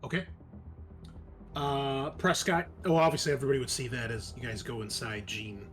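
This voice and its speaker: A man improvising descriptive, halting narration as the game master of a tabletop roleplaying session. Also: medium pitch, steady tone, a bit bright.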